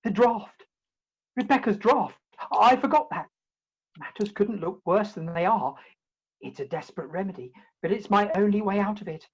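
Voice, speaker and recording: Woman playing a flustered, husky, melodramatic Victorian gentleman. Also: mid-range voice, expressive, normal speed. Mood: frantic. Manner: theatrical, exclamatory, pompous wording. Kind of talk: comic radio play acting. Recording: home video-call recording.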